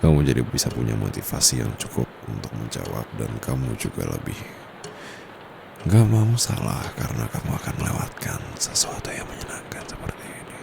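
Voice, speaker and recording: Casting: male actor as smug, dominant private tutor for ASMR roleplay. Indonesian accent, extremely low gravelly voice, teasing drawn-out murmurs slipping into whispers. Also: very animated, heavily acted. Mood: sly, persuasive. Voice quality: very deep, gravelly, breathy murmur. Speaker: amateur voice actor. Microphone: close condenser microphone. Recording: close mic with clear background noise.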